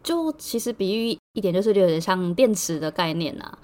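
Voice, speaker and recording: A woman with a Taiwanese Mandarin accent chatting casually as a podcast co-host, telling things in an animated way and repeating words for emphasis. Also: medium pitch, fairly quick. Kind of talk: casual chat, personal storytelling.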